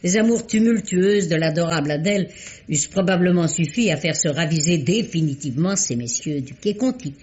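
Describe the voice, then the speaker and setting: A woman's voice: fairly low pitch, a little fast, close to an everyday voice. An actress playing a shrewd, wry older woman who unravels a murder, in a theatrical old radio drama style. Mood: explaining. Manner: crisp, theatrical, lively explanations. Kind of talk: 1950s French radio drama acting. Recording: muffled old radio recording.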